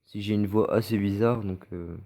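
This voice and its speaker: A French-accented man talks through recorded game matches in a relaxed, rather low voice. He is stuffy from a cold and keeps stopping to restart with fillers. His tone stays mellow and soft throughout. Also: moderate pace. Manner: hesitant, many fillers and restarts. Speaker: gameplay commentator. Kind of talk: casual gameplay commentary over recorded matches.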